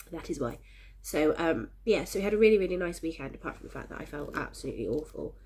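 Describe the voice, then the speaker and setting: A woman's voice: low voice, lively intonation, normal speed, a crowd in the background. A woman with a head cold chatting cheerfully and ramblingly to her viewers in a casual crafting vlog, a little congested and coughy. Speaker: crafting vlogger. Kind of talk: casual chat to camera.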